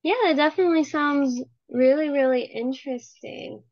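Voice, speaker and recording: A woman's voice: sits high, normal speed, no echo. A young woman interviewing a family member for a class project, friendly and encouraging, often saying "like". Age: young adult. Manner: friendly and encouraging, many "like" fillers. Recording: slightly dull, lo-fi audio.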